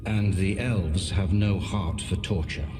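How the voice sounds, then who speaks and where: Grim henchman: low, terse, skeptical, uneasy, slow delivery, video game scene with hall reverb. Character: grim, uneasy male servant of the dark side. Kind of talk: video game character acting. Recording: echoey hall reverb with music behind.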